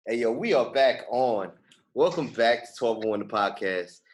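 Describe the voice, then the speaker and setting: A man's voice: medium pitch, expressive, normal speed. A man from New York in his thirties hosting a podcast, chatting casually and effusively in slangy speech. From New York, United States. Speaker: podcast host. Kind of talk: casual interview chat.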